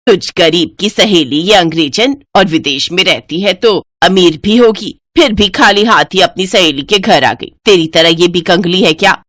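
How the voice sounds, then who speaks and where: A woman's voice: lowish voice, moderate pace, few pauses, a bit bright, no echo, clean studio sound. A woman voicing a cruel, greedy mother-in-law in a storybook tale, taunting and insulting harshly.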